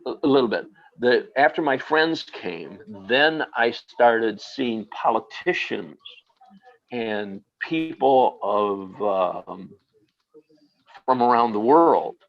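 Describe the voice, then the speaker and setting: A man telling a personal experience, speaking slowly and haltingly with many fillers. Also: medium pitch, expressive, vocal fry, highs cut off. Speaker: guest.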